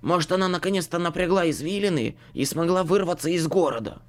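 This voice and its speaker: A man's voice: on the high side, fast, voice pushed up, lightly acted. A man voicing a tense, sardonic male schemer in an audiobook story, hissing and grumbling his words. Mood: sceptical.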